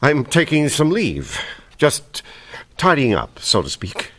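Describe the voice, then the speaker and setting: An actor playing a haughty, crooked banker in a period radio mystery, speaking formally. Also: male voice, fairly high pitch, huge pitch swings, takes it slowly, pushed and bright, exaggerated voice. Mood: caught, cool. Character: smooth, indignant, crooked male banker villain. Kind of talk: old-time radio drama acting.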